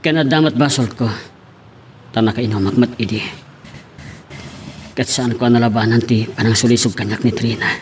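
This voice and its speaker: A man's voice: medium pitch, steady tone, moderate pace, long pauses, lightly acted. A man playing a troubled young adult son in a radio-style drama. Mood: regretful. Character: troubled grown son justifying himself to his father. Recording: background noise behind the voice.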